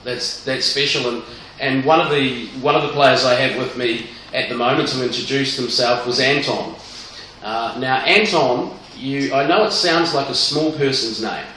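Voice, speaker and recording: A man's voice: medium pitch, moderate pace, sharp tone, a little hiss or hum. A man hosting a radio interview, asking long, rambling questions. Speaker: radio host. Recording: echoey room, mic at a distance.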